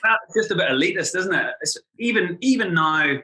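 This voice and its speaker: Fast-talking bright Irish man. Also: high-pitched, poor audio. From Ireland. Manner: quick, animated storytelling with frequent "like" fillers. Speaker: guest. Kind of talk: casual podcast chat.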